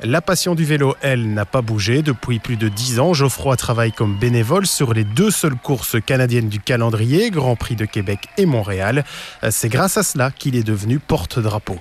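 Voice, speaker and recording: A man's voice: mid-range voice, expressive, normal speed, slight background noise. Male reporter voicing a feature. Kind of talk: scripted news report.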